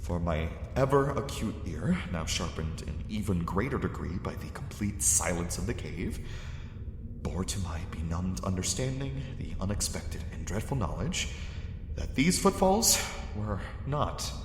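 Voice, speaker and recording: A man giving a measured, grave first-person horror narration that swells with dread. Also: low-pitched, expressive, slight background noise. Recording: echoey cave-like reverb with music.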